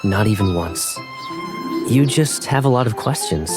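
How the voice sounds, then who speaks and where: A man playing a tender, teasing young college graduate in a romance game fan dub, speaking softly and gently. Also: on the low side, lively intonation, measured pace. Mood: tender, resolute. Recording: background music, echoey hall on speech.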